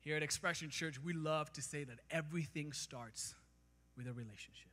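A man preaches on a church stage with a handheld mic, his tone bright and forceful. His pitch sits somewhat high for a man, and he climbs into loud, emphatic peaks. The big hall lets his voice hang in a long reverb. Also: brisk. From Kenya. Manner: preaching with rising emphasis, gesturing while walking the stage. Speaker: pastor. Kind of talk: sermon. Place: large church stage, indoors.

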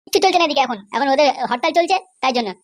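A nosy young cartoon village lad, acted by a man, chatters extremely fast in a tiny, very high, processed squeak. He is bold, blunt and suspicious. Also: animated. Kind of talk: comic cartoon dubbing.

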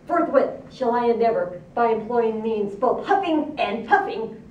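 A woman's voice: on the high side, animated, measured pace, a little mellow, muffled recording. A sinister, hungry wolf from a storybook, acted out by a woman, drawing out each courtly threat. The words are archaic and formal, and the sound is roomy and echoing. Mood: threatening. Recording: roomy echo, distant mic, faint noise.

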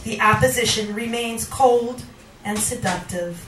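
A middle-aged woman reciting poetry live into a handheld microphone in a small bar, with dramatic, deliberate phrasing and pauses that sometimes turns sing-song. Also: fairly high pitch, fairly bright tone, recorded from a distance. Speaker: featured poet at a reading. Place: small wood-paneled bar venue, indoors. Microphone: handheld microphone, filmed from across the room. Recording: roomy live recording from afar, background noise.

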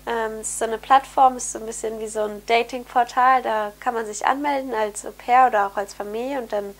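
A young woman with a cold and a nasal, slightly hoarse voice, chatting upbeat to camera in her bedroom. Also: on the high side, steady tone, fairly quick. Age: young adult. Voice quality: slightly hoarse, throat clearing. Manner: chatty, upbeat, many fillers. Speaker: vlogger, au pair. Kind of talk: casual chat to camera. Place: bright bedroom indoors. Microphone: camera mic at close framing.

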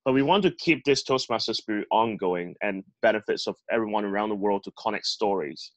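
A man's voice: fairly low pitch, a little fast, dry recording. A man hosting an online club meeting over a video call, introducing panelists in an upbeat emcee manner. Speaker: host.

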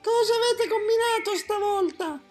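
A woman's voice: very high voice, normal speed, darkish tone, faint music behind. A woman voicing a small, pert fantasy creature in a children's cartoon. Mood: pert, reluctant. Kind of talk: children's cartoon character acting.

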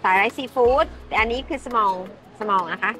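Thai woman w high voice, giggly broken english, outdoor table at night, faint music behind. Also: very fast, a bit bright. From Thailand. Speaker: vlog host. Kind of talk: casual food vlog chat. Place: outdoor restaurant terrace at night. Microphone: camera across the table.

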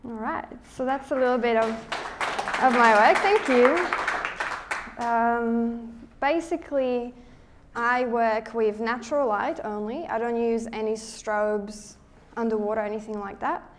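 A young woman from Slovakia with a Slovak accent, speaking as a guest presenter to a live audience in a lecture hall, friendly and relaxed, restarting sentences as she thinks. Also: on the high side, slow, a little hiss or hum. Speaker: guest speaker, underwater photographer. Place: lecture hall at a photo show.

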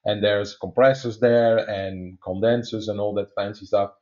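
A man's voice: medium pitch, a little mellow. Dutch guy explaining tech stuff on a podcast, lots of uh's, kinda dull lo-fi sound. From Netherlands. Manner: many "uh" fillers, measured explaining. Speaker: guest expert. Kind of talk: technical explanation in conversation.

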